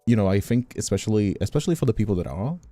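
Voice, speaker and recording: A man with a dark, mellow voice talks casually on a livestream over faint music. His pitch moves in lively swings as he rambles, stopping and repeating phrases while he works out what he means. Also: no echo. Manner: rambling, halting, repeats himself. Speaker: streamer and content creator.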